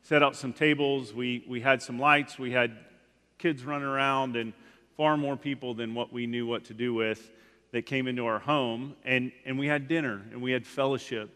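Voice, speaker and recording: A man's voice: mid-range voice, fairly even intonation, normal speed, bright, forceful tone, clean tone. A man in his forties, a guest speaker giving a casual, rambling sermon in a church, full of "like" and restarts. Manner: rambling, frequent "like" fillers and restarts. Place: church sanctuary. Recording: long hall reverb.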